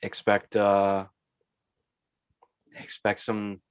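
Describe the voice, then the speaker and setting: A man's voice: on the low side, monotone, drawn-out words, smooth, dry recording, clean studio sound. Blunt, profane man with a head cold. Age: 30s. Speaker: podcast co-host. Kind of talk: casual chat.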